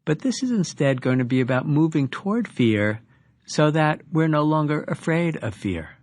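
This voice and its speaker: A man leading a guided meditation as a teacher, giving instructions with deliberate pauses between phrases. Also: on the high side, lively intonation, a little mellow, no echo, clean studio sound.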